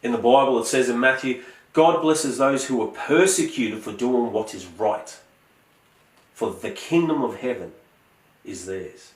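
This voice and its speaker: A man, giving a prepared, earnest speech in a measured, emphatic way. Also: mid-range voice, roomy sound, far from the mic, low-quality recording. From Australia. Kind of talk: prepared motivational speech with scripture quotes.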